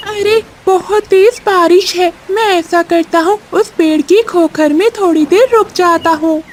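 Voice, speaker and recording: Extremely high voice, woman playing a little boy parrot, excitable, earnest, cartoon delivery. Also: a little slow, slight background noise. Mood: hurried. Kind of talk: Hindi children's cartoon voice acting.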